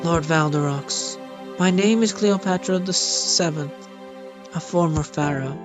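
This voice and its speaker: A man's voice: fairly high pitch, a little slow, lightly acted. Man playing a proud, stately queen over music. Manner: formal, stately. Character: proud, vain queen, former female pharaoh. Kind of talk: acted fantasy game cutscene reading. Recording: music behind the voice.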